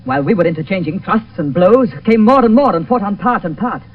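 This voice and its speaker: Earnest young noble in 70s radio shakespeare, lightish voice, muffled hissy old tape. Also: male voice, high voice, lively intonation, a little fast. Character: loyal, concerned young nobleman, Romeo's cousin. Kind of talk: 1970s radio Shakespeare acting. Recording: dull, hissy 1972 radio recording, distant.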